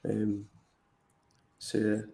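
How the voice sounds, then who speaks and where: A man's voice: lowish voice, completely flat delivery, drawn-out words, creaky, mellow. Man, casual live stream chat, hesitant restarts, echoey room sound. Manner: rambling, hesitant with many fillers and restarts. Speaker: fantasy football podcast co-host.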